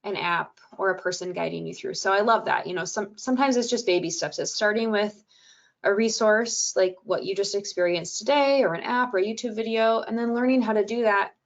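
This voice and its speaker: A woman's voice: mid-range voice, brisk, gravelly, lo-fi sound. Soothing woman hosting a webinar. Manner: calm, gentle, with frequent fillers. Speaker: webinar presenter and podcast host. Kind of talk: webinar presentation.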